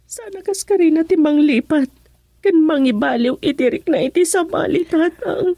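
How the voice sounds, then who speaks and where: An actress playing a heartbroken, tearful young daughter with an Ilocano accent in a radio drama. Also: female voice, sits high, moderate pace, mellow, no room sound. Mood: sorrowful. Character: heartbroken jilted young daughter, grieving and pleading. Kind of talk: Filipino radio drama acting.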